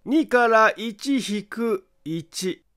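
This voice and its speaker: Amateur detective for mystery audiobook, male reader acting: creepy amusement, high pitch, unhurried deliberate accusations. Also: lively intonation, fairly bright tone, heavily acted. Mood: coolly accusing. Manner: deliberate, theatrical, amused emphasis. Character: eerie chuckling amateur detective, calm, relentlessly accusing man.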